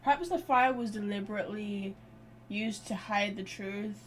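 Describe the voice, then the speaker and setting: A woman's voice: medium pitch, moderate pace, a little hiss or hum. A woman giving casual live game commentary, chatty and thinking aloud with repeated phrases. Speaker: game streamer.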